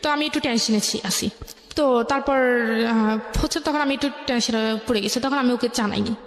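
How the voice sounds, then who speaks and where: A young adult woman with a Bangladeshi accent, telling her life story as an interview guest in a halting, rambling way. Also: on the high side. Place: echoey indoor radio studio. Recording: echoey room with long reverb.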